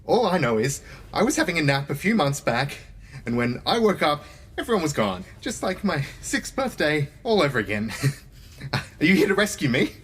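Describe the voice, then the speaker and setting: Husky, nervous botanist. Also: female voice, low voice, very animated, moderate pace, exaggerated voice. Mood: confused, hapless. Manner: nervous, stammering, cowardly. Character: timid, delicate, oddball botanist science officer. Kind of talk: comedic sci-fi audio drama acting.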